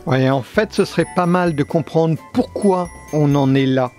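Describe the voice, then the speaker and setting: A man's voice: a little high, normal speed, a little mellow, faint music behind, lightly acted. A man playing a stubborn, dramatic boss of a failing company in an amateur audio comedy, terse and theatrical. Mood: evasive, annoyed. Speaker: voice actor.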